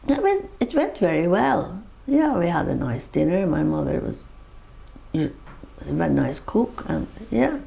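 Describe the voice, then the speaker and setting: A woman's voice: fairly low pitch, big pitch swings, unhurried, gravelly, dark tone. An older woman with a Norwegian accent, recalling her life in a personal interview, rambling through her story with laughs and restarts. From Norway.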